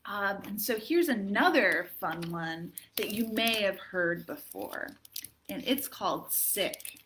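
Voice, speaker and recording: A woman hosting a library storytime for children, expressive, putting questions to her young listeners as she narrates. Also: medium pitch, takes it slowly, slight background noise.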